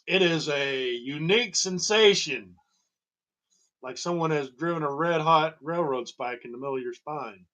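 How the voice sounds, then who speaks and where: A man telling a personal story in a halting, digressive monologue with a wry, emphatic manner. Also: on the high side, pushed and bright. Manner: halting, digressive, wry, chuckling at grim details. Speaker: storyteller and host. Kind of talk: rambling personal monologue about own medical history.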